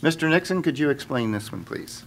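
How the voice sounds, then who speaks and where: A man's voice: a little high, animated, fairly quick, a bit bright. Middle-aged male council member, faint noise. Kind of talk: formal meeting remarks. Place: large council chamber. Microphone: gooseneck desk microphone.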